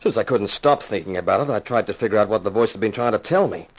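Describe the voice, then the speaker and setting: An actor playing a tough, wry insurance investigator, narrating in 1950s radio detective style. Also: male voice, on the low side, animated, fast. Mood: brooding, wry. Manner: wry, laconic, dramatic storytelling. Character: tough hard-boiled male insurance investigator.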